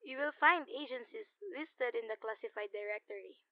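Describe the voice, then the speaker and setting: Casting: young woman as a detached switchboard operator for radio drama, high voice over a tinny phone line, formal and polite. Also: moderate pace, dry recording. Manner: polite, formulaic, impassive. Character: unruffled, formal female telephone operator. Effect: telephone filter. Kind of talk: 1940s radio play acting. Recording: tinny telephone-line effect.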